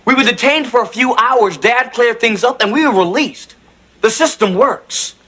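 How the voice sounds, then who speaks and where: A man's voice: very high voice, normal speed, a bit bright. An actor playing a prim, naive preppy young man talking earnestly in a sitcom.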